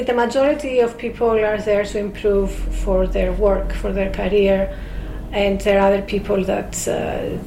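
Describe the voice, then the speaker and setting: A woman with a Greek accent, a speakers club member explaining carefully as a radio interview guest, pausing on fillers. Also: medium pitch, a little mellow, echoey room, poor audio.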